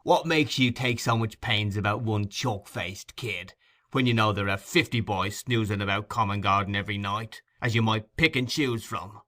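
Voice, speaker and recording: A man voicing a brutish robber in an audiobook, gruff, surly and threatening. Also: on the low side, normal speed, sharp tone. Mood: scornful.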